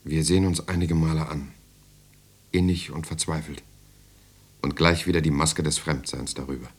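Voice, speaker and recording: An actor playing a weary political prisoner who narrates his story in measured, intimate short phrases in a radio play. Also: rumbling low, fairly even intonation. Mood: weary, tense. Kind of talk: scripted dramatic narration.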